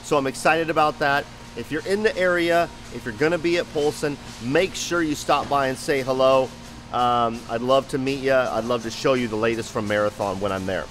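A man's voice: medium pitch, normal speed, fairly bright tone, soft background music. A man in his forties giving an enthusiastic, informal tour to camera as a show host. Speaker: company video show host. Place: large open factory hall, indoors. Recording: noisy factory floor ambience.

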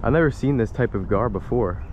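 A man's voice: mid-range voice, animated, a little fast, a little mellow, lo-fi sound, a little hiss or hum. Upbeat young man vlogging outdoors. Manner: upbeat, chatty, talks to viewers. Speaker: fishing vlogger. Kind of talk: casual vlog narration. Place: outdoors by a saltwater creek.

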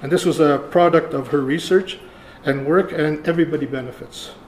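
An older man, around seventy, giving a conference lecture in a halting, deliberate way. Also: on the high side. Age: older, about 70. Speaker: keynote speaker, archivist. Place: lecture room, indoors. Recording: roomy echo, faint room noise.

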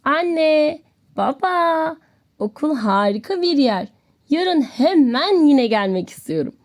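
A woman's voice: sits high, very animated, slow, a little mellow, pitched above the performer's own voice, exaggerated voice. A woman voicing a friendly, eager little schoolgirl in a children's storybook tale. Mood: happy, excited. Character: excited, slightly shy little schoolgirl, friendly and sweet.

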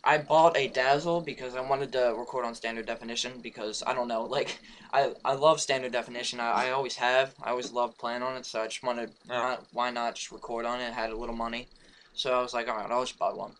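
Raspy, just-woken young man. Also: mid-range voice, steady tone, fast talker, fairly bright tone, slight background noise. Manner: rambling, many fillers and restarts, casual swearing. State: hoarse, just woke up. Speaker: gaming channel host. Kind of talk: casual channel update. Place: home room beside his gaming setup.

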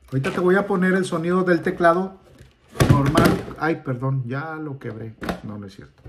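A man's voice: on the high side, slight background noise. A man hosting a gadget video, explaining to viewers in a casual, colloquial, rambling way that often breaks off and restarts. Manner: colloquial, rambling, self-interrupting. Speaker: host of a gadget unboxing video.

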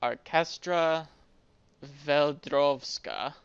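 A man's voice: a little high, drawn-out words, creaky, smooth, no echo, highs cut off. A man giving casual gameplay commentary, rambling and thinking aloud as he plays. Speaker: gaming video host.

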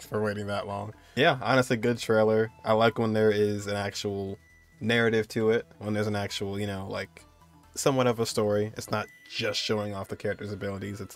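A young man with a fairly low voice talks casually through his reaction to a video as its host. Music plays faintly behind him. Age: young adult.

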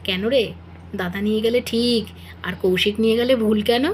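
A woman's voice: medium pitch, steady tone, fairly quick, close to an everyday voice. A woman voicing a knowing, teasing elder sister-in-law in a doll-play drama, wry and reassuring. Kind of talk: melodramatic doll-play dialogue.

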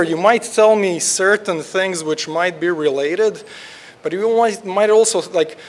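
A young man from Croatia, a research scientist giving an informal tutorial talk in a lecture hall, with lots of "like" fillers and restarts. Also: a little high. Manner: casual, many "like" fillers and restarts.

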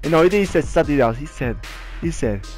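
A man's voice: fairly high pitch, lively intonation, fast, a little mellow. A young American man telling a story casually in a slangy, dramatic way, acting out moments with sound effects. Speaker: gaming video storyteller. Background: music under the voice.

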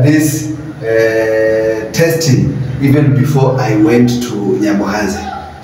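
A man in his thirties shows viewers around a building site in a casual, halting way. He talks slowly and repeats his phrases. The sound bounces hard off bare walls, picked up from a distance with clear noise behind. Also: medium pitch, darkish tone. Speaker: vlogger plumber. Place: empty unfinished concrete rooms indoors. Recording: very echoey bare room, distant mic, noisy.

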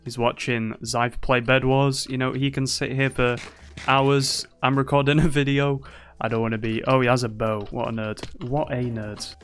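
A young man talks through a match in casual commentary, teasing his opponents and bragging in jest. His voice sounds a little worn from heavy use, and soft music plays faintly underneath. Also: medium pitch, level tone, moderate pace, clear, resonant tone. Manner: playful, teasing, boastful banter. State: slightly losing his voice. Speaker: gaming YouTuber.

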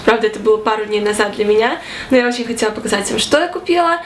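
A young woman in her twenties vlogging casually to camera in her small bedroom, chatty and animated. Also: fairly high pitch, rapid-fire, roomy sound, slight background noise. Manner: chatty and animated, gesturing a lot. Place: small bedroom with fairy lights.

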